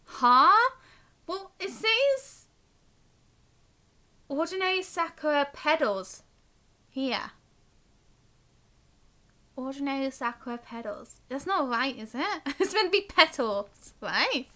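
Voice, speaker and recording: A woman game streamer giving live commentary and reading game text aloud in a chatty, expressive way. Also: sits high, takes it slowly, long pauses. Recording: game music behind the voice. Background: game music.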